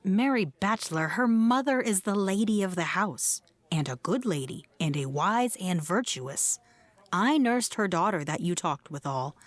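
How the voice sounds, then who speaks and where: An actress playing an older household nurse, a chatty, devoted servant who is plain-spoken, in a Shakespeare audio play. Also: female voice, mid-range voice, expressive, measured pace, no echo. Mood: gossipy, proud. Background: music.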